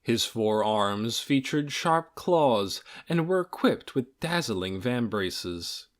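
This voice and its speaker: A man reading a fantasy audiobook in a dramatic, measured storytelling manner, with deliberate pauses between phrases. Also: medium pitch. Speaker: audiobook reader. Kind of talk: scripted fantasy novel narration.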